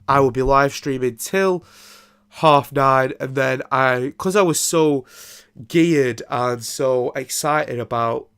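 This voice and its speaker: A man's voice: mid-range voice, fairly bright tone, smooth. A young man, congested and nasal from a throat infection, hosting a casual solo podcast and rambling with many ums and restarts. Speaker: podcast host.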